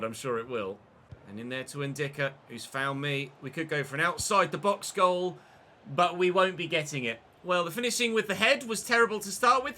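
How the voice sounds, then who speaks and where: A young man commentating his football video game career, chatty and excitable, breaking into shouts when he scores. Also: sits high. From England. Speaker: gaming YouTuber.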